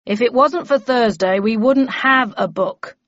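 A woman voicing a stern, brisk bellman who chairs a meeting in an officious, declaiming manner, in an audiobook story. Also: fairly high pitch, a bit bright, dry recording, lo-fi sound, pitched above the performer's own voice. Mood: firm.

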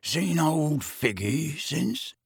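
A shady, mournful petty crook from an audiobook, acted by a male reader. He mutters and pleads in a husky, dry-recorded voice, always wheedling. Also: on the high side, big pitch swings, very slow, no echo, exaggerated voice. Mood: wheedling, shifty.

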